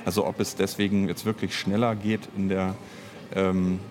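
A man's voice: sits low, level tone, moderate pace, darkish tone, slight background noise. A middle-aged man in a casual interview, thinking aloud and often breaking off to rephrase. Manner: thinking aloud, hesitant, self-interrupting, reflective. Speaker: audio drama writer and director being interviewed.

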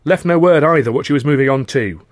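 A man's voice: a little high, fast, no echo, studio quality, voice pushed up, natural voice. A man voicing a cheerful, gossipy upper-class young man with a chummy, posh-sounding manner. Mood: sympathetic.

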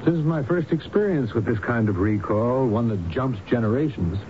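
An actor playing a calm, learned hypnotist doctor in a 1970s radio drama, speaking in a measured, explanatory way. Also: male voice, medium pitch, lightly acted. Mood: earnest, explanatory. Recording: noisy, dull vintage radio broadcast.

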